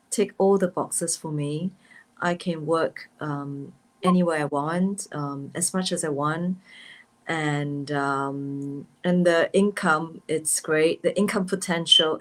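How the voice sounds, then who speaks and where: A woman from Singapore speaking as a guest in a video-call chat, calm and reflective. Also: deep voice, rough recording. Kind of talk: casual chat.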